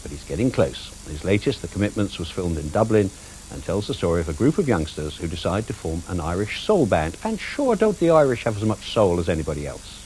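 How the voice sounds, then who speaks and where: A man's voice: deep voice, sing-song, brisk, a little mellow, a little hiss or hum, poor audio. A man presenting a film review programme in a wry, arch scripted manner.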